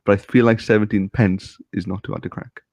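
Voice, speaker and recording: Teasing low-voiced Welsh man. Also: fairly even intonation, a little fast, a little mellow, no room sound, dull audio. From Wales. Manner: teasing, joking. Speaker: streamer host. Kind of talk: casual chat on a livestream.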